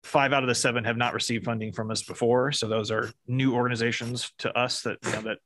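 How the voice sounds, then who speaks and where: A man chairing a city commission meeting, running motions and votes in a halting, procedural way. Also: mid-range voice, fairly even intonation, a bit bright, poor audio.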